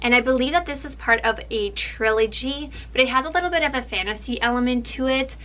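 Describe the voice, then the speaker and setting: Young woman, russian first language, bright kinda high voice, chatty and excited about her new books. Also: fairly quick. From Ukraine. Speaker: book vlogger. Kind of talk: casual book haul chat.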